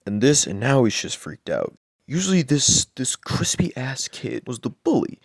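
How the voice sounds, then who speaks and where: A man improvising a crude bedtime story in a deadpan, rambling way, with lots of swearing and repeated words. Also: mid-range voice, normal speed, gravelly, no echo.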